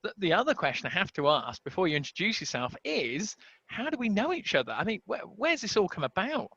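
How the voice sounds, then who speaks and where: An enthusiastic podcast host from the UK, a man who piles on superlatives. His voice is bright and forceful, pitched a touch high. It is recorded dry on a headset, with a dull lo-fi tone. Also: very animated, brisk, vocal fry, husky, no echo. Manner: upbeat, enthusiastic, lots of superlatives. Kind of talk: casual interview hosting.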